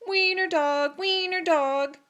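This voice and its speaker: A woman voicing neighborhood dogs in a children's story, crying out in an exaggerated, drawn-out way. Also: very high voice, pushed and bright, pitched above the performer's own voice, exaggerated voice. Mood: mocking. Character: pack of mocking, easily frightened neighborhood dogs.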